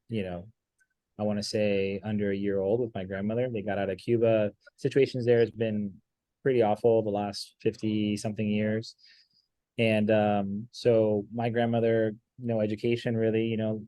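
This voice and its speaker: Low, flat-voiced young man from Miami. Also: no echo, rough recording. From Miami, Florida. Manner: relaxed, rambling with many fillers. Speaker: guest pool player. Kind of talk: casual interview chat.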